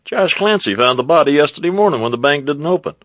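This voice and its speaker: Chatty old-west stable hand, folksy drawl, bouncy voice, light hiss. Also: male voice, fairly high pitch, lively intonation, fast talker, fairly bright tone. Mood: gossipy. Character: friendly talkative male stable owner. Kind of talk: radio drama acting.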